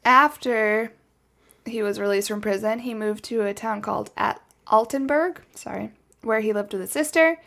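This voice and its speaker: Woman, higher-pitched, creaky edge, casual podcast storytelling, chatty, wry asides. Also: moderate pace, a bit bright. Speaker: podcast host. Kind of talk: casual true crime storytelling from notes.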